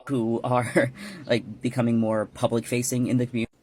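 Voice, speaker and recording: A man's voice: medium pitch, flat delivery, normal speed, a little mellow. A man ranting scornfully in a casual social media video, with many fillers.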